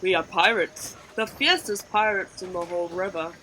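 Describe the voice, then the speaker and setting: Lady doing a blustery storybook pirate captain guy, proud and bumbling, bright and loud, some noise behind. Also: fairly low pitch, normal speed, rough recording. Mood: gloating. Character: boastful, bumbling male river pirate captain.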